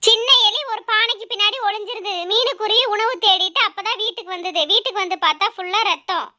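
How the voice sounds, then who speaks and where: A woman's voice: very high-pitched, fast talker, bright, forceful tone. A woman narrating a scripted children's animal story.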